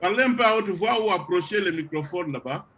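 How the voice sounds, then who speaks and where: A middle-aged man from the Congo, slowly and deliberately addressing trainees of his online computer course. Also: sits high, animated, a bit bright, a little hiss or hum. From Democratic Republic of the Congo. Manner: slow, deliberate, with pauses and hesitations. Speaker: project founder leading an online computer course. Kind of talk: motivational talk to trainees on a video call.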